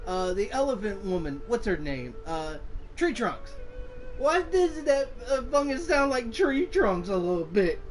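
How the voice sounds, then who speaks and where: A man's voice: sits high, very animated, measured pace, faint music behind. A man giving casual gameplay commentary, hesitant and repeating himself as he talks. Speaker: gameplay streamer.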